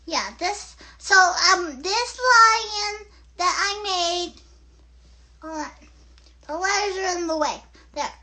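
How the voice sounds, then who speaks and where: A woman voicing an excitable, easily distracted little girl puppet who hosts a children's show, chattering haltingly and interrupting herself. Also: very high voice, slight background noise, full character voice. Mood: chatty, explaining. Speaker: puppet host.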